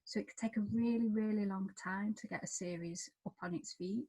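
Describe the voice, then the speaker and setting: A woman giving encouraging, chatty panel advice as a television writer, often softening points with filler phrases. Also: medium pitch, steady tone, a little fast, slightly rough. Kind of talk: informal panel advice at an online event.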